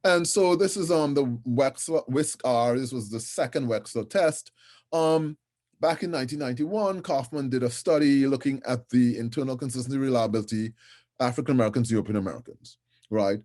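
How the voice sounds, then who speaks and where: A middle-aged man from Trinidad and Tobago giving a conference lecture in a conversational way, often checking in with "right?" and filling pauses with "um". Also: mid-range voice, darkish tone. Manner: conversational, with frequent tag "right?" and fillers.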